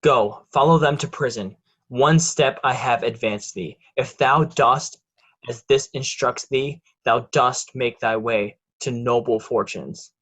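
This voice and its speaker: A man playing a coldly ambitious, scheming nobleman in a Shakespeare reading, measured and commanding. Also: mid-range voice, a bit bright. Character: cold, ambitious, scheming male villain nobleman. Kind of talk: Shakespearean verse read in reader's theatre.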